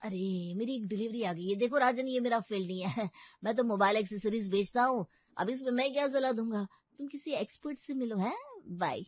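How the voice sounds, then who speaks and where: A woman's voice: on the high side, a little fast, dry recording, lo-fi sound, natural voice. A woman voicing a cheerful but evasive young male friend in a story cartoon.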